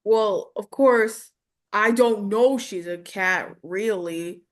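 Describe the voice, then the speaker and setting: A woman's voice: medium pitch, takes it slowly. A woman studying voice acting, giving casual answers in a hesitant way as she thinks aloud. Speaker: voice acting student. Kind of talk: casual conversational answers in a lesson.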